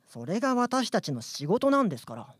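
A man's voice: high voice, big pitch swings, fairly quick, breathy edge, no echo, clean studio sound, full character voice. A man playing an earnest, prim young worker ant who protests indignantly in a radio drama. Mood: huffy, prim. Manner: indignant, polite, dutiful. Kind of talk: live radio drama acting. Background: music.

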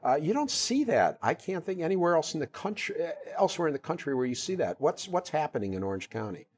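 A middle-aged man hosting a TV interview show in a studio, speaking on a lapel mic with a lively manner and stumbling over fillers as he asks questions. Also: a little high, fast. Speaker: host. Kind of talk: TV public affairs interview.

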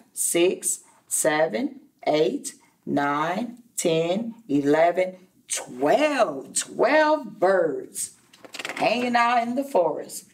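A woman reads a children's story aloud in a classroom, a little distant from the microphone. Her pitch moves in animated swings, and she takes her time with each phrase, like a preschool teacher speaking to young listeners. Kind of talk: expressive children's read-aloud with asides to listeners. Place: indoor classroom.

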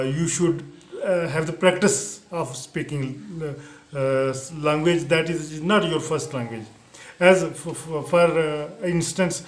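Man, middle-aged, Urdu speaker, English lesson to camera, many uh fillers, somewhat high voice. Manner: hesitant, frequent uh fillers and restarts. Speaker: English teacher. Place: indoors, plain teal backdrop. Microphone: camera in front, head and shoulders framing. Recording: echoey room.

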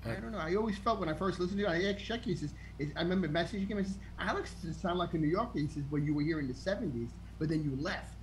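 A New man on a video-call panel tells his stories in a rush. His voice sits a little high, and a faint hiss runs under the call. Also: rough recording. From Queens, New York. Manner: quick, chatty storytelling. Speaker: panel member. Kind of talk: casual chat. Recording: faint background noise.

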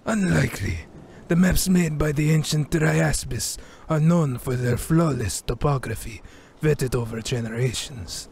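A wry, cat-like sailor and navigator, as a male storyteller puts him on, speaking in a raised voice with an odd, altered tone. He drawls slowly, amused and knowing, as in a spoken storybook. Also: on the high side, vocal fry, a little mellow, slight background noise, pitched above the performer's own voice.

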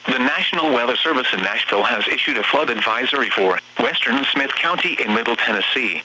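A synthetic text-to-speech man's voice reading formal, measured emergency weather bulletins as an automated announcer over the radio. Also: medium pitch, lively intonation, sharp tone, breathy edge, poor audio. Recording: buzzy, humming weather radio broadcast.

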